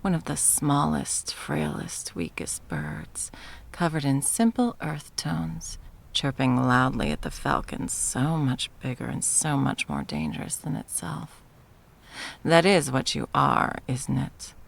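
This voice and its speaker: A woman's voice: rumbling low, sing-song, takes it slowly, gravelly, exaggerated voice. A woman playing a haughty, sadistic sorceress tyrant in a fantasy audio roleplay, with a theatrical, mocking and condescending delivery. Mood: predatory, teasing. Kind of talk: scripted ASMR fantasy roleplay.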